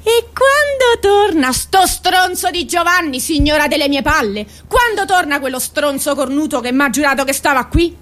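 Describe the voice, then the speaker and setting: A brash working-class woman, played by an actress, with a high-pitched voice. She is bitter and scornful, swears coarsely and hammers on the same phrases, in the heightened manner of a radio stage monologue. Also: moderate pace, hardly any pauses, fairly bright tone. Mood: angry, scornful. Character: bitter, brash, foul-mouthed working-class Italian woman ranting at absent lover. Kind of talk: theatrical monologue acting for radio.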